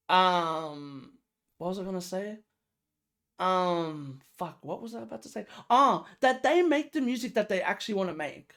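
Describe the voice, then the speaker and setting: A reaction video host giving casual, slangy music commentary, emphatic and repetitive, often throwing out rhetorical questions. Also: mid-range voice. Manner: informal, slangy.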